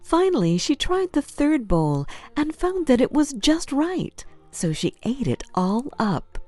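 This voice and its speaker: A woman storyteller giving scripted fairy-tale narration for children in an expressive, deliberate way. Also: high voice, no echo, soft background music.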